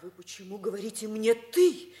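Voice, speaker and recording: A woman's voice: mid-range voice, sing-song, normal speed, warm tone, slightly rough. An actress playing a proud, wounded young woman of principle in a theatrical 1950s Soviet radio drama. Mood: indignant, scornful.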